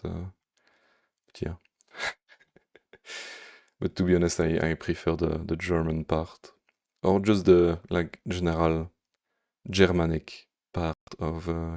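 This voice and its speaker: A man's voice: low voice, vocal fry, dark tone. A man from Belgium with a Belgian French accent, coding on a live stream and answering viewers' chat, thinking aloud with lots of fillers and humming to himself. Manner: thinks aloud with many fillers, hums to himself while working.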